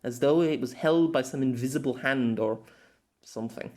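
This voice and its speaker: An amateur audiobook reader giving an expressive narration of a scripted story. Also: medium pitch, moderate pace.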